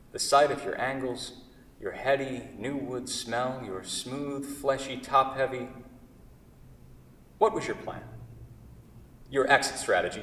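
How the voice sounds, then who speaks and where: An actor playing an anguished, needy male woodworker in a theatrical monologue, halting and repeating himself obsessively. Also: medium pitch, lightly acted. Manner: halting, repetitive, self-correcting dramatic delivery. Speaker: actor in a staged play reading. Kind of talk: theatrical monologue at a staged reading. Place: theatre, recorded from far off. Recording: echoey hall, distant microphone.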